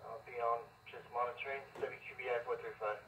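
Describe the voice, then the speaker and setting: A man's voice: mid-range voice, flat delivery, fast talker, a bit bright, distant mic. A man chatting casually as a radio operator, heard through a two-way radio. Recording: roomy, noisy radio speaker from afar.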